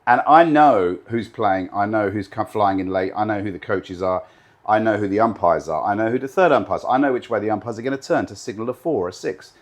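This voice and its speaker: A man in his fifties, originally from Britain, speaks in a fairly low register as a guest in an interview. He talks with confidence and emphasis, often lining up his points in repeated, list-like runs. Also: brisk. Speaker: guest, live TV director. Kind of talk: interview answers.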